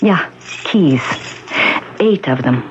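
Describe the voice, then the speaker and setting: A woman's voice: deep voice, lively intonation, takes it slowly, noisy background. An actress playing a suspicious, plain-spoken housekeeper of sixty in an old-time radio drama, insistent and fond of answering her own questions. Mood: indignant. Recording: dull lo-fi radio drama broadcast.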